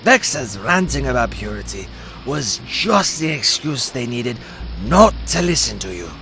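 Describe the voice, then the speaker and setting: Give this fantasy audio drama a shrewd sidekick played by a man. His pitch swings dramatically as he teases, and he takes his time over each phrase with sardonic relish. Music plays underneath. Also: big pitch swings, a little slow, sharp tone, full character voice. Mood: reasoning. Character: Klaus, wry German-speaking male companion, teasing and shrewd.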